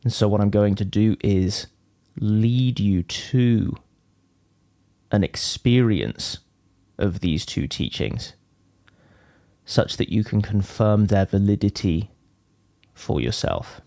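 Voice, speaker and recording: Male meditation teacher, unhurried slow delivery, deliberate pauses, dry close sound, guided spiritual instruction. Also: fairly low pitch, mellow, dry recording. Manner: measured, deliberate phrasing with frequent pauses.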